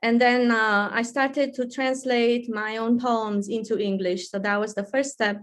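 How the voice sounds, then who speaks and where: A woman from Romania, a guest poet, telling her life story in a relaxed way with fillers. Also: fairly high pitch, a little slow.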